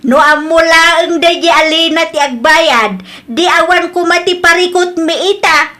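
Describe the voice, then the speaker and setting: A woman's voice: extremely high pitch, a little slow, bright, forceful tone, heavily acted. A woman with an Ilocano accent playing a proud, quarrelsome village mother-in-law in an amateur audio drama. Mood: agitated.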